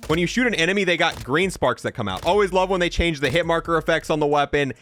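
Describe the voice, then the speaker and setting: A man's voice: fairly high pitch, fast talker, a bit bright. A man giving casual, offhand commentary as he showcases a video game, with some dry humor. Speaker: gaming video presenter.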